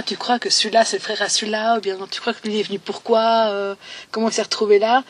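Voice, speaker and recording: A woman's voice: medium pitch, recorded from a distance. Young woman, hesitant. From Valais, Switzerland. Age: 20s. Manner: hesitant, many fillers, softly trailing off. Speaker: interviewee. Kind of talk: recorded personal testimony.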